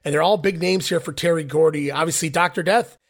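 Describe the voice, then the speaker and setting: A man hosting a podcast, recapping in casual chat with a hoarse voice. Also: on the high side, a little fast. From northeastern Ohio. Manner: recapping, wraps up the show. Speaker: podcast host.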